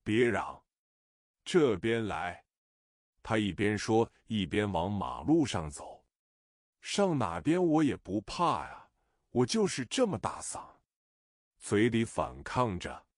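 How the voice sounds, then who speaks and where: Male audiobook narrator, expressive, lowish voice, animated pitch, dry close sound. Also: takes it slowly, long pauses, dry recording. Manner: expressive reading, voicing characters' lines. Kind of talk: scripted novel narration.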